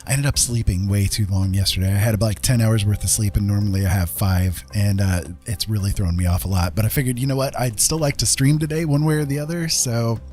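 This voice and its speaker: A man's voice: fairly low pitch, steady tone, quick pace, hardly any pauses, darkish tone. A man hosting a casual game livestream, rambling and thinking aloud as he chats with viewers. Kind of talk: casual livestream chat while playing. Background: game music.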